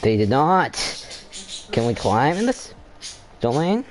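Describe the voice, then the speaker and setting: A young man giving casual, halting gameplay commentary, thinking aloud as he plays. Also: mid-range voice, very animated, slight background noise. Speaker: gaming video host.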